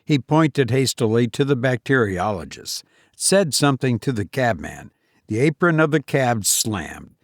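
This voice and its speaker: A man's voice: mid-range voice, big pitch swings, measured pace, no room sound, clean studio sound. A man reading a story aloud as scripted audiobook narration. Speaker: audiobook reader.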